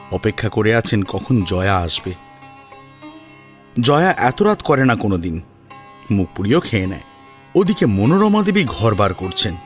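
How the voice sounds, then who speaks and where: A man narrating a scripted audio drama in a dramatic storytelling style. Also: on the low side. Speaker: narrator and voice actor.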